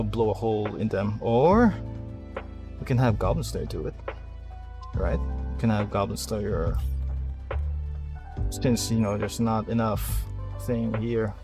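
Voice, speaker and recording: A man giving casual gameplay commentary, thinking aloud and muttering half-finished plans with some mild swearing. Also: fairly low pitch. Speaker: video game streamer.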